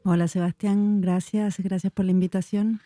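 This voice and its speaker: A woman's voice: medium pitch, flat delivery, moderate pace, mellow, no echo. A woman in her forties from Chile, a dance teacher answering interview questions in a searching, hesitant way with many fillers. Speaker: dance teacher and movement researcher.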